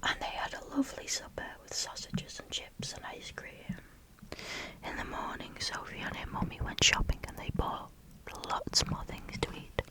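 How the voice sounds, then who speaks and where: Whispering woman reading stories. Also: rough recording. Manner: soft unvoiced whisper, slow and sing-song. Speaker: storyteller. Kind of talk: whispered bedtime story reading, ASMR.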